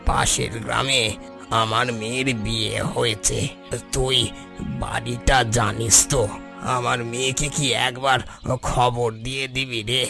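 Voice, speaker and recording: A man voicing a frail, ailing old village man in a cartoon, halting, weary and grateful. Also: medium pitch, little pitch movement, creaky. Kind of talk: Bengali cartoon dialogue acting. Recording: loud music under voice.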